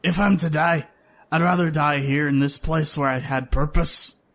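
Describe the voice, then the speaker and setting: A man acts out a middle-aged shopkeeper for an audio drama. He is steadfast, loyal and resigned, with a voice pitched a little higher than most men's. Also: fairly even intonation, normal speed, creaky, a bit bright. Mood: resolute, earnest.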